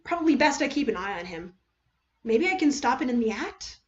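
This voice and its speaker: A woman voices a scheming teenage boy in a dramatic story. He sounds cynical and calculating, as if muttering plans to himself. The pitch is on the high side and the recording is a little dull. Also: sing-song, fairly quick. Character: scheming, cynical teenage boy writing unhinged secret notes.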